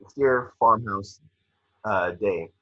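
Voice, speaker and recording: Husky low-voiced man reading script directions. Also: slow pace, pushed and bright. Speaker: action description reader. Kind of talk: scripted narration for amateur table read. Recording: dull, lo-fi microphone sound.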